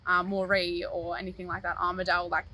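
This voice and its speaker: Bright-voiced young Australian woman. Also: on the low side, monotone. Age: young adult. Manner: measured, explaining patiently. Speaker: interviewee. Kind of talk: casual interview answers.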